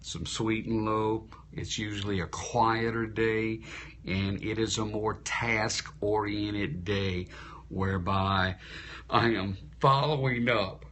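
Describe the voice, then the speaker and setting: A man's voice: lowish voice, fairly even intonation, slow, a bit bright, slight background noise. A man who works as an insurance entrepreneur and speaker, coaching viewers live and often asking rhetorical questions, tired after a long day. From Louisiana. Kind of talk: live-stream talk to viewers. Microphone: phone.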